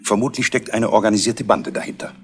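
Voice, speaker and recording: A man plays an insistent senior police inspector in a vintage German radio crime drama. The voice is deep, and the delivery is official and emphatic. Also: fairly even intonation, fast, natural voice. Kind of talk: 1970s German radio play acting.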